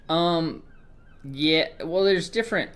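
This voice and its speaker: A man's voice: a little high, slow pace, a bit bright, clean tone. A young man from Georgia with a light Southern accent, chatting casually with stream viewers in a rambling, hesitant way full of restarts.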